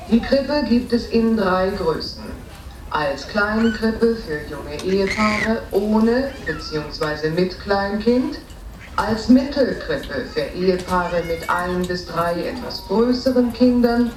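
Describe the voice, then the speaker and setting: A woman's voice: mid-range voice, noisy background. Echoey, distant department store saleswoman. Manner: recited, salesy listing of goods and prices. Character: department store saleswoman touting Christmas bargains over loudspeaker. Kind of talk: promotional sales announcement, radio play.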